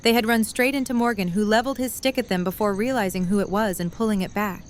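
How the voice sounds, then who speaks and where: A woman's voice: mid-range voice, fairly even intonation, fast, a little hiss or hum. Woman narrating, mid-range voice. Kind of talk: scripted story narration.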